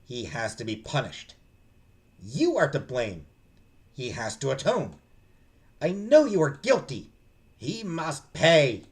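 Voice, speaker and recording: A man's voice: medium pitch, very animated, slow pace, long pauses, voice pushed up. A man voicing a furious, accusing ghost of a mother inside a girl's head, for a visual novel read-aloud. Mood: vengeful. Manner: accusing, shouting and hissing.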